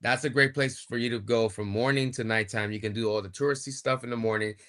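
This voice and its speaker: A man's voice: mid-range voice, steady tone, quick pace, fairly bright tone. A man, a wrestler, chatting as an interview guest and explaining things in a plain-spoken, casual way.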